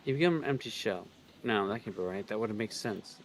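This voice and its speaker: A man's voice: on the low side, lively intonation, a little fast. A man co-reading a visual novel on a livestream, moving between read lines and casual commentary. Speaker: co-reader on a game livestream.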